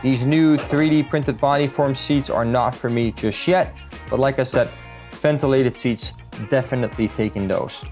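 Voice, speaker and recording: A man's voice: medium pitch, normal speed, darkish tone, faint music behind. A man from the Netherlands, talking through a car build in a casual, matter-of-fact vlog style as a salesman would.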